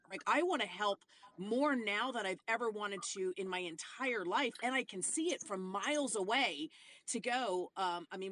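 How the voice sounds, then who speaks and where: A woman's voice: medium pitch, fairly bright tone. A woman in a casual conversation, talking enthusiastically and rambling, with many restarts.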